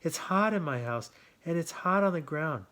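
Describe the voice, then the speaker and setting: A man's voice: a little high, lively intonation, normal speed, bright, forceful tone, pitched above the performer's own voice. A man voicing a plaintive, overheated rabbit who cries out his complaints in a children's storybook. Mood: hot, plaintive. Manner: crying out, plaintive. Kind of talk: children's storybook read-aloud.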